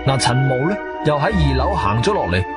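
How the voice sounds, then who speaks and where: Animated Cantonese adventurer played by a man, music behind. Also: medium pitch, brisk, close to an everyday voice. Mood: suspenseful narrating. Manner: animated, suspenseful storytelling. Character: agile martial-arts-trained adventurer, urgent and intense. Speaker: narrator and lead character of a radio drama. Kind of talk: dramatic first-person radio drama narration in Cantonese. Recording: studio radio drama with music behind.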